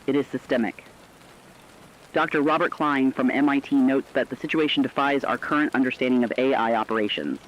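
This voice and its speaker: Dull lo-fi radio sound, mid-pitched male voice, man acting as serious drama news anchor, formal, precise. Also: a little hiss or hum, close to an everyday voice. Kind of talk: scripted radio news broadcast.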